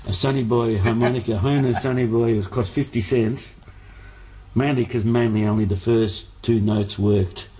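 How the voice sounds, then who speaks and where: A man's voice: medium pitch, steady tone, normal speed, background noise. A man, born in Hungary, telling stories as a musician guest in a casual interview, rambling with many fillers and restarts.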